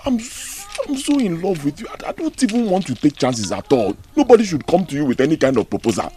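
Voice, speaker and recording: Young prince in love, pleading, hugely swooping pitch, soft to loud. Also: male voice, very animated, fast. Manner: pleading, swings between hush and force.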